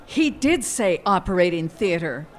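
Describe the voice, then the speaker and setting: A woman's voice: fairly low pitch, big pitch swings, a little slow. A woman playing a wary, easily affronted wife in a live radio comedy-horror, questioning and exclamatory. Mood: startled, affronted. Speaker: actor. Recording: live stage recording, background noise.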